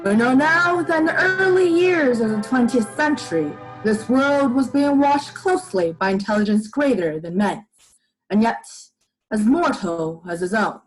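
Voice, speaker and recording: A young woman playing a solemn narrator in a radio play, with grave, deliberate, formal delivery. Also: a little high, lively intonation, faint music behind, natural voice, rough recording. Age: young adult. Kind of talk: scripted dramatic narration. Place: living room at home.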